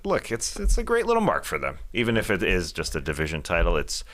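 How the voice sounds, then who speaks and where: Lively, bright, lowish-voiced man bantering about sports. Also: fast. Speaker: sports podcast co-host. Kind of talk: casual sports chat.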